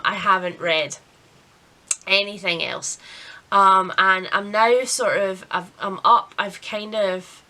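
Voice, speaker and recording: A woman's voice: mid-range voice, bright, forceful tone. A woman chatting casually and candidly to camera as a vlogger, a little self-deprecating. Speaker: book vlogger. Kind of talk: casual vlog chat to camera.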